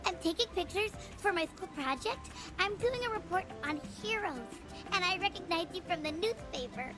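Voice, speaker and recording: Keen little cartoon schoolgirl, tiny super high voice, music behind. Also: expressive, moderate pace. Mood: eager. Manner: keen, wide-eyed. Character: eager, bright little girl, a schoolkid. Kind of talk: animated game cutscene acting.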